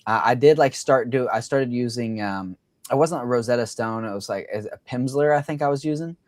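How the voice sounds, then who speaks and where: A man's voice: medium pitch, fairly quick, darkish tone, no echo. Rambling young man with dry close sound. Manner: rambling, lots of "like" fillers. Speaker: livestream host. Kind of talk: casual livestream chat.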